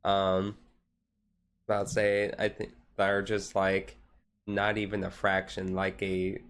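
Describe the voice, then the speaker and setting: A man's voice: low voice, completely flat delivery, takes it slowly, a bit bright, clear, resonant tone. A man streaming casually, rambling in a laid-back, hesitant way as he chats with viewers. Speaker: live streamer. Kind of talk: casual chat while doing a card-game activity.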